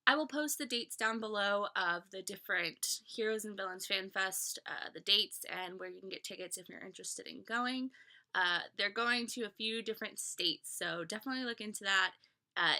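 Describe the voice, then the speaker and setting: Bright-voiced stuffy young woman vlogging. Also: mid-range voice, brisk. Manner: chatty, rambling with many fillers and restarts. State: stuffy, voice a little off from a cold. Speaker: vlogger reviewing a fan convention. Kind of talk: casual talk to camera. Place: small bedroom, indoors.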